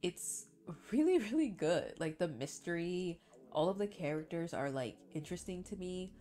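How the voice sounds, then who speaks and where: A woman's voice: fairly low pitch, expressive, moderate pace, dark tone. A young woman chatting casually to her viewers, sounding congested from the flu. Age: young adult. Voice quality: congested, stuffy-nosed. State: sick with flu. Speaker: reaction channel host. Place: small bedroom, indoors.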